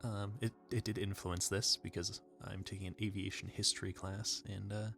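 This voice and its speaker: Young male creator, casual and self-effacing, gravelly low register, hesitant delivery, faint music behind. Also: level tone, normal speed, fairly bright tone. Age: young adult. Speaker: amateur voice actor, channel creator. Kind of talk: casual chat to viewers.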